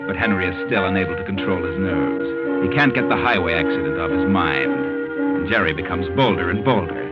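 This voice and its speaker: Deep grave guy narrator of an old radio mystery, dramatic and terse, music loud behind, dull old sound. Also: expressive, a little fast. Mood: grave, ominous. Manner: terse, dramatic present-tense storytelling.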